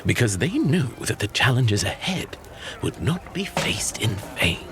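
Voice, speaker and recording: A man's voice: mid-range voice, very animated, a little slow, a bit bright, soft background music. A man playing a grave storyteller in a fantasy audio drama, narrating legend in a formal, portentous tone. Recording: noisy atmospheric background bed.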